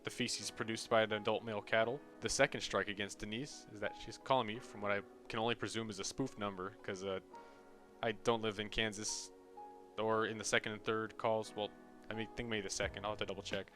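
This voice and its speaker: A young American man delivers casual comedic commentary with a sarcastic edge. He swears freely, and soft background music sits under his voice. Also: fairly low pitch, level tone, brisk. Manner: sarcastic, profane. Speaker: video creator.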